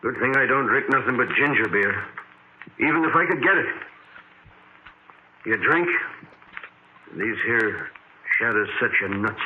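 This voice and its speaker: A man's voice: medium pitch, lively intonation, a little slow, pauses often, close to an everyday voice. Man acting a jittery mumbling bartender, old scratchy radio. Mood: uneasy, muttering. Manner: mumbling, folksy, ungrammatical. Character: jittery, muttering bartender spooked by shadows. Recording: noisy, narrow-band old radio recording.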